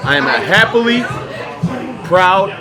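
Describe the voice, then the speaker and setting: A man's voice: high voice, expressive, unhurried. A man around forty from Puerto Rico, a bar patron talking football in a boisterous, insistent way. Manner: boisterous, insistent, repeats phrases. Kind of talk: casual bar chat. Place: crowded dive bar. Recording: loud bar with music and crowd.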